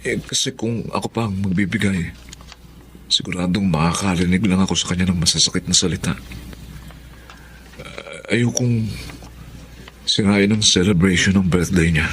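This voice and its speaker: Man voicing a young male writer, hesitant, apologetic, lowish voice. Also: normal speed, long pauses, a bit bright, a little hiss or hum. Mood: subdued. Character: meek young male writer, defensive son. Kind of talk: audio drama acting.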